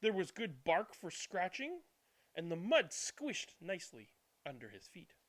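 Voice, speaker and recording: A male storyteller with a slightly high voice reads a picture book aloud. His delivery swings widely in pitch and leans dramatic, stretching some words and hushing others. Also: huge pitch swings, measured pace. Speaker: read-aloud storyteller and channel host. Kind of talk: expressive children's picture book read-aloud, then casual chat.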